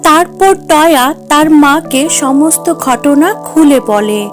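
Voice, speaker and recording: A woman's voice: high voice, expressive, slow pace, over music. A woman narrating a scripted children's cartoon story.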